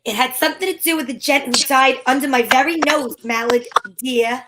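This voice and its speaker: A breezy, flirtatious lady detective acted by a woman with a high voice. She wisecracks archly in the style of a 1940s radio mystery, moving between narration and banter. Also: brisk, very noisy, lightly acted. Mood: coy, teasing. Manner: arch, wisecracking, endearments. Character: sassy female private eye, flirtatious and breezy.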